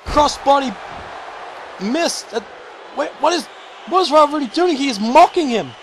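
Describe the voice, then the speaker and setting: A man calling a video game wrestling match play-by-play, shouting excitedly and going hoarse. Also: measured pace, a little hiss or hum. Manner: shouting, high-pitched and strained, losing his voice. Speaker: commentator.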